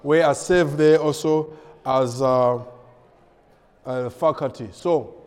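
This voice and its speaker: A man from Malawi, a pastor and missionary in his thirties or forties, giving a ministry update with frequent pauses and fillers. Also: fairly high pitch, fairly even intonation, darkish tone, smooth, long reverb tail. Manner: hesitant, many filler pauses.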